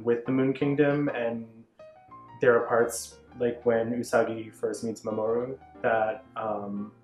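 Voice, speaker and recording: Very low-voiced woman in an echoey room. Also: darkish tone. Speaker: review show panelist. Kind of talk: casual fan review discussion. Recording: roomy echo, faint background music.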